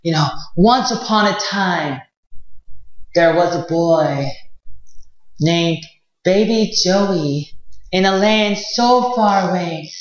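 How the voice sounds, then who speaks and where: A young adult man, an English teacher, tells an improvised fairy tale to learners in an animated, halting way, repeating phrases. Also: high-pitched. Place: small indoor room with whiteboard. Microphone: clip-on lapel mic. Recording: very echoey room, slightly dull sound.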